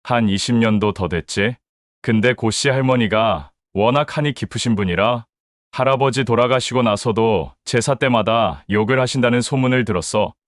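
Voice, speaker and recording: A man's voice: medium pitch, normal speed, no echo, studio quality, close to an everyday voice. A man playing a shaken middle-aged father. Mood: troubled. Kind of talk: audio drama acting.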